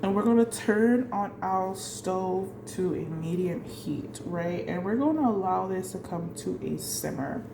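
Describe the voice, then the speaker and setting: A woman's voice: lowish voice, animated, measured pace, creaky, few pauses, echoey room, noisy background. A woman with a congested voice from a cold hosts a casual home cooking tutorial, chatty and often tagging her sentences with "right?". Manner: chatty, keeps adding "right?". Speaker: cooking channel host. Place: home kitchen.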